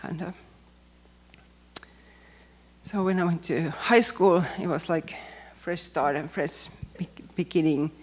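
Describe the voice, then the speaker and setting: A woman from Finland with a Finnish accent, speaking English as a second language and giving a personal testimony in a careful, hesitant way with frequent pauses. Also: fairly low pitch. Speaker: church member giving testimony.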